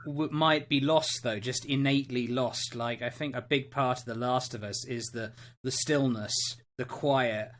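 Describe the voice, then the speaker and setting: A man with a medium-pitched voice and a very bright, forward tone speaks conversationally. He hosts a casual discussion, posing questions to fellow panelists. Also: steady tone, normal speed.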